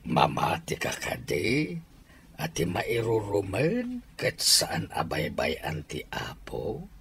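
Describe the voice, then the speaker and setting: A man with an Ilocano accent playing a kind old grandfather who gives gentle advice in a radio drama. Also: mid-range voice, wildly swooping pitch, slow pace, vocal fry, slight background noise, heavily acted. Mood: gently advising.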